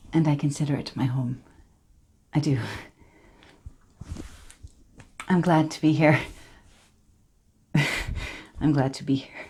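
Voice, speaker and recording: Low-voiced, halting, earnest woman survivor, acted by a woman. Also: warm tone, heavily acted. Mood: nervous, pleased. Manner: hesitant, halting, confiding. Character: earnest, emotional immigrant woman telling a survivor's story. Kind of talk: dramatic audition monologue, direct address.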